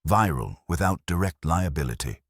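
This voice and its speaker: A man's voice: low voice, animated, normal speed, dry recording, studio quality. A man voicing a vain, theatrical young schemer in a mystery audiobook, with clipped, arch, sardonic phrasing. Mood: smug, glib. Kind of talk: audiobook detective story dialogue.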